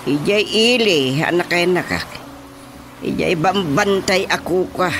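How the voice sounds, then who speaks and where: A man playing a stubborn old husband in an Ilocano radio comedy-drama, with an insistent, expressive delivery. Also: high-pitched, measured pace, music in the background. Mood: wistful, explaining. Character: stubborn old husband longing for a quiet place.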